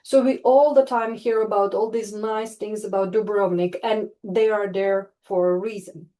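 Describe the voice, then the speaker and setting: A woman from Croatia presents research in a webinar over a video call. Her voice is mellow and dark-toned. She stops often for fillers and restarts phrases as she builds her points. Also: mid-range voice, fairly even intonation, normal speed. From Istria, Croatia. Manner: hesitant, many fillers and restarts. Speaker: webinar speaker, tourism researcher. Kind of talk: online presentation.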